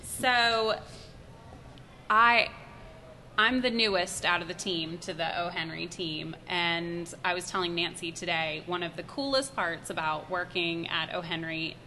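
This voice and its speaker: A woman's voice: on the low side, unhurried, sharp tone, slight background noise. A woman co-hosting a casual podcast chat, playful and teasing with her guests. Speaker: co-host.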